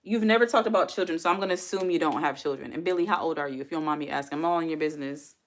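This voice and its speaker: Chatty woman in her forties, lowish voice. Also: very fast. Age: 40s. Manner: chatty, talks straight to chat, laughs often. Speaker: livestream host. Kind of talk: casual chat reading viewer comments.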